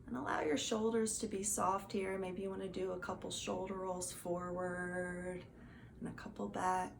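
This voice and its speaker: A woman gently guiding a meditation as a teacher, in a soothing, soft and unhurried manner. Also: lowish voice, level tone, gravelly. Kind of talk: guided meditation instruction. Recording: faint background noise.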